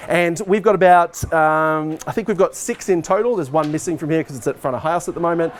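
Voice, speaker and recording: A man's voice: a little high, a little fast. A man in his forties giving an informal, explanatory walkthrough as a teacher, talking conversationally as he moves about. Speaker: teacher, head of department giving a technical tour. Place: school hall stage and backstage, indoors.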